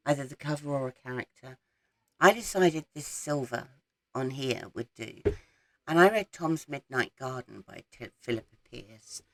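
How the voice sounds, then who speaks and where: A woman, croaky from a head cold, chatting casually about her week's reading and rambling with frequent ums. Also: deep voice, dry recording. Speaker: book vlogger. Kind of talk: casual reading wrap-up chat. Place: small garden shed.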